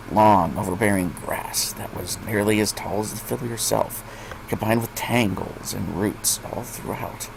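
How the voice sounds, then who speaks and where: A man's voice: lowish voice, gravelly, background noise. A man narrating fan fiction expressively, as a story reader.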